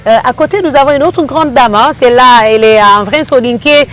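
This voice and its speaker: A woman's voice: high-pitched, fairly quick, a bit bright, a little hiss or hum. A woman hosting a panel interview, talking with frequent hesitations and fillers. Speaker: radio show host.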